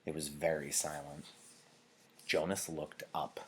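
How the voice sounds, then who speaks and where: A man reading a novel aloud in an expressive narrating style, like a teacher to a class. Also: rumbling low, measured pace, slight background noise.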